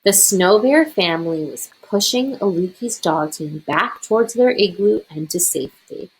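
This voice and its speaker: A woman reading a children's picture book aloud as a storyteller, expressive and deliberate. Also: lowish voice, slightly rough, low-quality recording.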